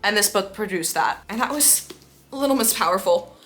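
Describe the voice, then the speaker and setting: A young woman with a bright, forward voice at a medium pitch, talking as a book vlogger. She chats casually and effusively, often repeating phrases for emphasis. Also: expressive, normal speed. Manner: gushing, effusive, emphatic repetition. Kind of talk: casual enthusiastic chat to camera.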